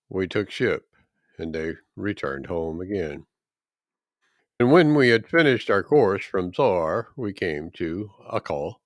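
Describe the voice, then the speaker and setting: Deliberate male scripture reader, dry close sound. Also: on the low side, dry recording. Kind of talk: solemn scripted Bible reading.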